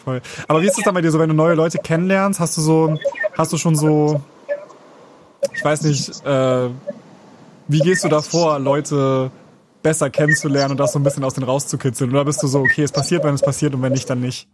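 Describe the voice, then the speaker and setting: A young man with a somewhat high voice interviews casually, affirming his guest and circling through loose, restarting questions. Faint noise sits under the video call audio. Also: little pitch movement, fairly quick. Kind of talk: casual conversation.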